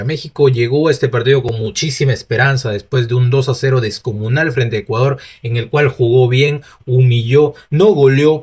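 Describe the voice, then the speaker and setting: A man from Peru with a Peruvian Spanish accent, giving a solo football opinion talk, chatty, with frequent restarts and rhetorical asides. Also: medium pitch.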